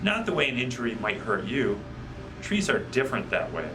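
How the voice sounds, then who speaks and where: A man voicing a wise old tree in a children's storybook, patient and philosophical, with gently wry humor. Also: medium pitch, huge pitch swings, a little fast, far from the mic, over music, poor audio. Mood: calm, philosophical.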